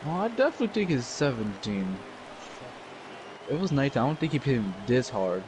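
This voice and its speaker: A man's voice: mid-range voice, very animated, a little fast, noisy background. A man co-commentating on a sim race in a casual, tentative way, thinking aloud about strategy.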